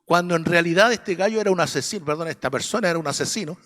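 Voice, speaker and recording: A man's voice: on the high side, very fast, a bit bright, in a large hall. A man with a Chilean accent teaching an adult Sunday school class, earnest and preachy, often checking that listeners agree. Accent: Chilean Spanish. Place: church classroom.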